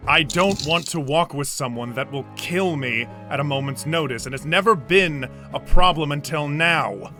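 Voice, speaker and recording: A man's voice: fairly high pitch, normal speed, few pauses, bright, forceful tone, soft background music. A man playing a stern, protective adventurer who speaks in a formal, challenging way in a tabletop game. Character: stern, protective character confronting a companion.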